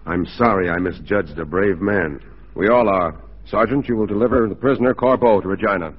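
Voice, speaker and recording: A proud, upright mounted policeman, acted by a man, speaking firmly in a fairly low voice. It is vintage radio drama, with a muffled sound and some background noise. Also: fairly quick, close to an everyday voice. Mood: stern, resolute. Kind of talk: 1940s radio drama acting. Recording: muffled, noisy 1940s radio recording.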